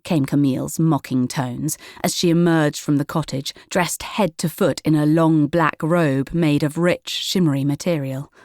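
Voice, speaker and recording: A woman narrates a children's audiobook. Her voice sits low, and the recording is dry with no room around it. She reads scripted storybook prose. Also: steady tone, unhurried, hardly any pauses, darkish tone, no room sound.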